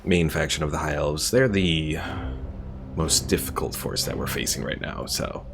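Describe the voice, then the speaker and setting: Gaming streamer, male, deep and gravelly, casual let's-play narration with a relaxed chat to viewers. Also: moderate pace, background noise. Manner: relaxed, thinking aloud, chatting to viewers. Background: game music.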